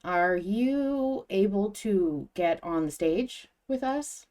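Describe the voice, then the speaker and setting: A woman's voice: medium pitch, expressive, takes it slowly, a little mellow. A woman hosting a podcast, chatting casually as a fan in an informal discussion. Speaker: podcast host.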